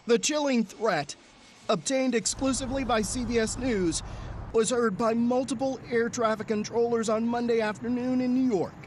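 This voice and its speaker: A woman's voice: on the high side, fairly even intonation, normal speed, noisy background. A woman news correspondent narrating a scripted television report.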